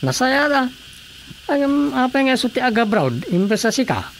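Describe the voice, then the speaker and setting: An actor playing a talkative, easygoing, sympathetic village councilor in a radio drama comedy, with an Ilocano Filipino accent. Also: male voice, extremely high pitch, big pitch swings, normal speed, a little hiss or hum, full character voice. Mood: curious, chatty.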